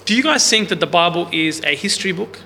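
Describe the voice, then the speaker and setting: A man with a loud, bright, slightly high voice teaches a Bible class in a big room, with no microphone. He explains casually and keeps putting questions to the group. The recording carries a long hall reverb and a faint hiss underneath. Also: lively intonation, quick pace. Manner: loud voice, speaks without a mic. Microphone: no mic on the speaker, recorder in the room.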